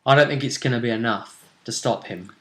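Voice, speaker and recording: A man with a clearly bright voice sitting at an average male pitch. He talks casually as a show host, discussing and previewing matchups in a conversational way. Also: brisk. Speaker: host of a role-play vidcast.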